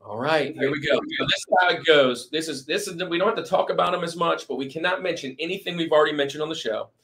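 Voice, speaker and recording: A man's voice: on the high side, fast talker, creaky. A man hosting a talk show in a casual bantering style, often repeating phrases for emphasis. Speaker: podcast host.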